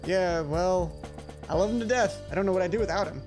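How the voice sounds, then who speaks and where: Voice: mid-range voice, a little fast, over music, natural voice. Someone voicing an easygoing friendly schoolboy. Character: friendly, easygoing teenage schoolboy, bird's fond owner.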